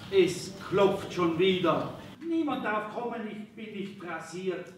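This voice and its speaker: A man plays a grumpy, foolish fairy-tale king on stage, full of bluster and complaint. The sound is very reverberant and distant, with clear noise. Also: high voice, slow pace. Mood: grumpy, flustered. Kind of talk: rhymed children's stage comedy. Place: theatre hall stage, indoors. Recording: echoey hall, far off, noisy.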